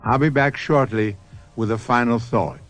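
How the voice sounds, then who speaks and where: A male host giving a measured, reflective scripted closing commentary for a radio drama. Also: mid-range voice, a bit bright, soft background music.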